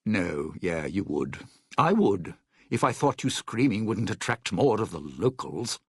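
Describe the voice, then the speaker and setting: An actor playing a cynical, self-serving lounge singer in a video game, with a raspy, gravelly voice and a wry, theatrical, menacing delivery. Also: male voice, fairly low pitch, sing-song, measured pace, no room sound, clean studio sound, full character voice. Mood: cold, menacing. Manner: wry, theatrical, hesitant muttering turning to threats. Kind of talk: video game character dialogue.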